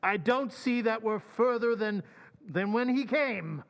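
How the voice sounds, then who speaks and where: A man playing a skeptical, cavalier colonel in an old-time radio drama, with a blunt, slightly halting, stuffy delivery. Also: sits high, expressive, a bit bright, full character voice. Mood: dissatisfied. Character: cavalier, skeptical colonel who owns a racehorse.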